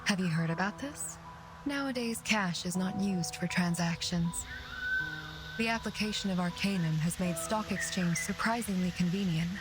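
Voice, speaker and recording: An actress playing a serene, aloof arcanist of the woods in a video game, speaking in a measured, formal and courteous way. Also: female voice, mid-range voice, lightly acted. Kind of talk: scripted game voice acting. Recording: loud music behind the voice. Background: loud background music.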